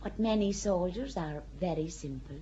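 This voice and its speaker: An actress playing an earnest young peasant girl who speaks plainly and with calm, quiet defiance in a radio drama. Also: fairly low pitch, normal speed, muffled recording, slight background noise, close to an everyday voice. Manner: plain-spoken, calmly certain, quietly defiant.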